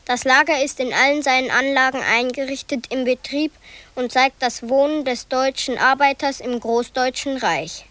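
A woman slowly and deliberately reading old planning texts in a documentary radio drama. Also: high voice, a bit bright, no room sound. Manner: slow, deliberate reading of names and plans.

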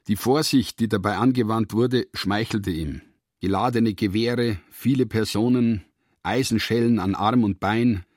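A man narrating a literary radio drama in measured, deliberate phrases. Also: on the low side, darkish tone, no room sound, clean studio sound.

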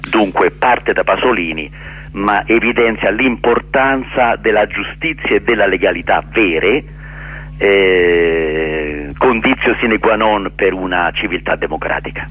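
Low-voiced man, dull noisy recording. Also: a bit bright. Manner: earnest, halting, emphatic repetitions. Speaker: interview guest, actor and director. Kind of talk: radio interview answers, explaining his play.

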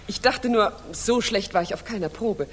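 An actress playing a shy, eager young stage debutante full of admiration, in a 1960s radio drama. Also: female voice, medium pitch, normal speed, natural voice. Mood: rueful. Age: young adult. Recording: distant echoing radio studio, hiss.

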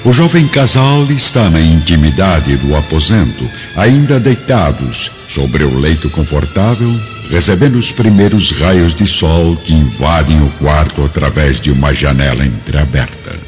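Deep guy narrating a radio drama, very animated intonation, muffled lo-fi sound, music behind. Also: measured pace, hardly any pauses. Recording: dull lo-fi audio with music behind.

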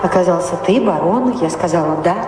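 An actress playing a composed, sceptical baroness in a radio play, speaking with poised deliberation. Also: female voice, lowish voice, animated, a little fast, close to an everyday voice. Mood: reflective. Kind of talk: Russian radio play acting. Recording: very echoey, distant, with loud music behind.

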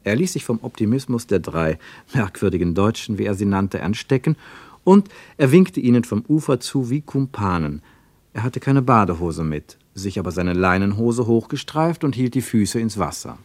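Male radio play narrator, lowish voice, lively intonation, dry studio sound. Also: normal speed, dry recording. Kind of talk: scripted literary narration.

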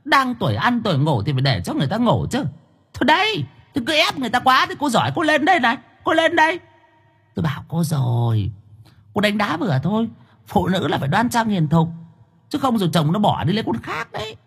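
A man's voice: high-pitched, huge pitch swings, fast, vocal fry, fairly bright tone, husky, voice pushed up, full character voice. A man voicing a cheeky, whiny female ghost, stammering and mocking.

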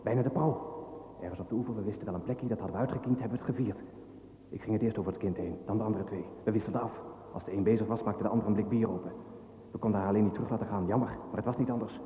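A man plays a callous young ex-soldier in a radio drama. His voice is dark and fast, coarse and matter-of-fact, ringing with heavy echo. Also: fairly low pitch, steady tone, lightly acted. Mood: callous boasting. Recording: long echoing reverb.

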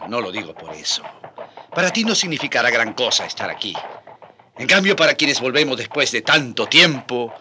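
An actor playing an aging, passionate Spanish friar in a radio drama, husky and emphatic. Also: male voice, medium pitch, very animated, normal speed, pushed and bright, slight background noise. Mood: wistful. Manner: impassioned, emphatic.

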